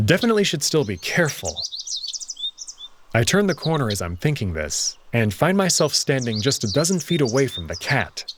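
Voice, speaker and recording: A man's voice: medium pitch, sing-song, moderate pace, noisy background. A man hosting a visual novel playthrough, reading scripted narration in a dramatic storytelling style. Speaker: host and reader of a game playthrough. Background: background music.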